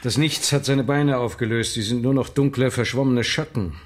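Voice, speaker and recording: An actor playing a grave, worried scientist in an audio drama, speaking deliberately. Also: male voice, medium pitch, fairly even intonation, close to an everyday voice.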